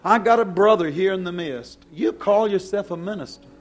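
Male hellfire preacher, high-pitched voice. Stern, accusatory, emphatic, with big swings in volume. Faint music underneath. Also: moderate pace. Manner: stern, accusing, with repeated rhetorical questions; emphatic, swinging between hushed and loud. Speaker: preacher, church pastor. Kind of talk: fiery hellfire sermon. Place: church service before a congregation.

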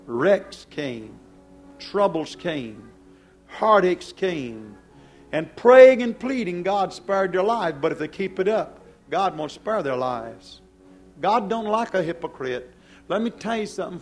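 Male hellfire preacher, high-pitched voice. Stern, accusatory, emphatic, with big swings in volume. Faint music underneath. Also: lively intonation, slow. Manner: stern, accusing, with repeated rhetorical questions; emphatic, swinging between hushed and loud. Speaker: preacher, church pastor. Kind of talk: fiery hellfire sermon. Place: church service before a congregation.

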